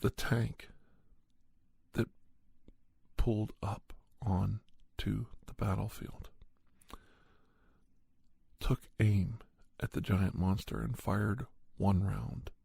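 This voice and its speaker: Deep, gravelly man telling an ASMR story. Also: a little mellow, no echo. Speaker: podcast host and storyteller. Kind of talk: slow ASMR first-person horror story narration.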